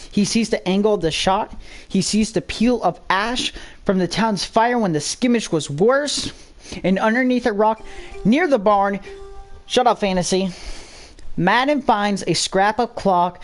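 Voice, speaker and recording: A woman reading a scripted story aloud as a dramatic narrator. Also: medium pitch.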